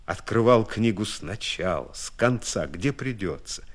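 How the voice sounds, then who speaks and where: A man narrates a literary radio biography in a low register. He takes his time, very slowly, giving each phrase an expressive, measured delivery with deliberate pauses.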